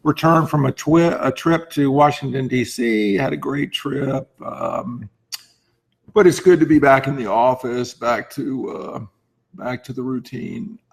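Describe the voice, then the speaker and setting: A man hoarse with laryngitis, speaking as a lawyer hosting an informal advice talk and often clearing his throat. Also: medium pitch, expressive, measured pace. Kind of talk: informal explanatory talk to camera.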